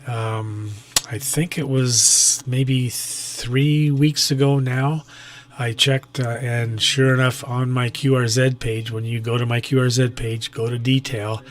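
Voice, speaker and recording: A man chats casually as an amateur radio operator. His voice sits at an average pitch with fairly level intonation. He meanders through what he says, pausing to find words. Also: fairly even intonation, dry recording. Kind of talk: casual chat over ham radio.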